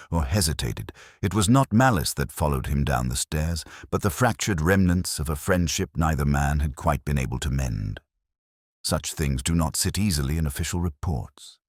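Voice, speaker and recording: A man narrating a first-person literary detective story in a measured, reflective, melancholic way. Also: very deep voice, husky, no room sound, clean studio sound.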